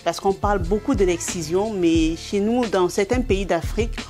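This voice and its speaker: Middle-aged woman, Cameroonian French accent, comedian giving an interview, animated and laughing, mid pitch, faint music underneath. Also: normal speed. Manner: animated, laughing often, many restarts and fillers. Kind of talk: interview, telling anecdotes.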